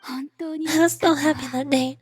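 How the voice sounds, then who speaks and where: A woman voicing a soft-spoken grieving widow, a wistful romantic from a video game. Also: high voice, steady tone, normal speed, over music, lightly acted. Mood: tender. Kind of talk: video game character voice acting.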